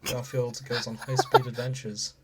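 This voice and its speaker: A man's voice: medium pitch, flat delivery, normal speed. A man co-hosting a podcast in casual chat, joking in a dry way. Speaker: podcast co-host.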